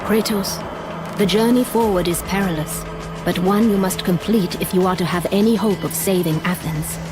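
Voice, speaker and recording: An actress playing a regal, calm goddess in a video game, speaking in a solemn, formal, instructive way. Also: female voice, medium pitch, moderate pace, close to an everyday voice. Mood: solemn, guiding. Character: regal, calm goddess woman guiding a warrior. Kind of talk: dramatic video game voice acting. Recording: music behind voice.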